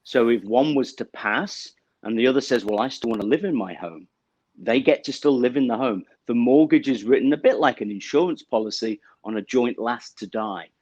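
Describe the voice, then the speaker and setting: A middle-aged man from the UK with a British accent, explaining banking products as an interview guest over a video call, measured and full of small fillers. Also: mid-range voice, a little mellow, slightly rough, dry recording, lo-fi sound. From United Kingdom. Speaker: bank representative guest. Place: office with banner backdrop, indoors.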